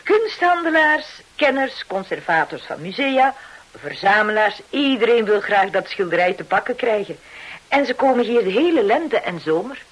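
A woman's voice: on the high side, very animated, moderate pace, rough recording. A woman acting in a Dutch radio drama, playing a shrewd, self-satisfied hotel owner who tells her story with knowing theatricality. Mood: knowing, brisk. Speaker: actor in a radio play.

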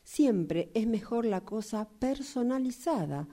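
A woman's voice: on the high side, lively intonation, slow pace, warm tone, full character voice. A woman acting in a radio drama as an indulgent, evasive fortune-teller with a Rioplatense Argentine accent, agreeing soothingly and repeating her words. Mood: knowing, evasive. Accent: Rioplatense Argentine Spanish.

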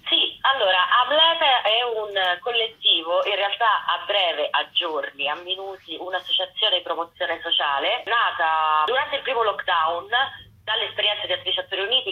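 A woman explaining in an articulate, formal way as a radio interviewee. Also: on the low side. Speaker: actress and activist, interviewee. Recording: muffled, lo-fi phone line.

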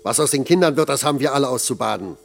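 An actor playing a blunt, patronising middle-aged factory foreman with a gravelly voice in a German radio play. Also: medium pitch, lively intonation, very fast. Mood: gruff, scolding. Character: gruff paternal older factory foreman.

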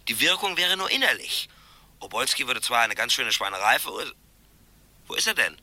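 An actor in a vintage radio play, playing a brisk, impatient male colleague who is easily exasperated. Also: mid-range voice, big pitch swings, bright, forceful tone, no echo, heavily acted.

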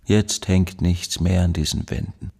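An actor playing an obsessive, embittered male recluse in a radio drama monologue, brooding and insistent in halting phrases, with a breathy voice. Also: deep voice, level tone, warm tone, full character voice. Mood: bleak, emptied out. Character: obsessive, embittered recluse, a man devoted to his study.

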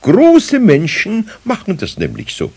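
A man's voice: high-pitched, wildly swooping pitch, a little slow, gravelly, mellow, breathy edge, full character voice. An actor playing an older craftsman in a children's radio play, wry, patient and teasing, with a dry way of talking. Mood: dry, reproachful. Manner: dry, teasing, speaks in little rhymes.

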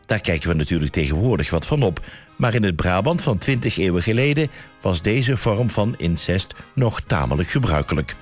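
A wry narrator, animated intonation. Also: male voice, low-pitched, moderate pace, faint music behind. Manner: tongue-in-cheek, deadpan. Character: wry, mock-solemn storyteller of a comic nativity play. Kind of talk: scripted narration.

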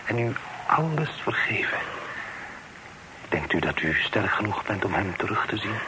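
An actor playing an earnest, considerate gentleman in a radio drama, speaking in a measured, formal and gentle way. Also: lowish voice, big pitch swings. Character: earnest, considerate gentleman bearing news of reconciliation. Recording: noisy old radio play recording.

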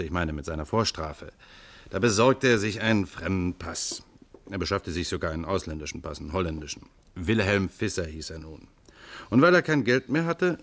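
A commanding police commissioner from a 1950s German radio crime play, with a very deep voice. He speaks in a matter-of-fact way, as one used to giving orders. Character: authoritative German police commissioner leading a murder inquiry.

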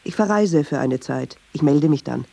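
An actress playing a brooding, persistent woman in a radio drama, speaking in an introspective, deliberate way. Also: sits low, lively intonation, vocal fry, dark tone. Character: brooding, persistent, troubled woman who argues with herself. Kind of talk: radio drama monologue and dialogue. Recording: dull, hissy radio drama recording.

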